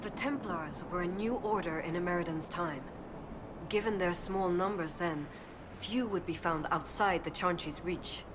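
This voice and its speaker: Fantasy game role: actress as earnest elf research assistant, female, young, lower register, scholarly and precise, noisy backdrop. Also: moderate pace, lightly acted. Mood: thoughtful, explanatory. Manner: precise, scholarly, thoughtful delivery. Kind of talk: video game voice acting.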